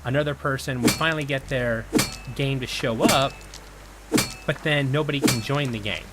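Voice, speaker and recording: A man's voice: mid-range voice, moderate pace, very noisy. Chatty man losing his voice. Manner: rambling, chatty, talks to viewers while playing. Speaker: gaming video host. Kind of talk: casual gameplay commentary.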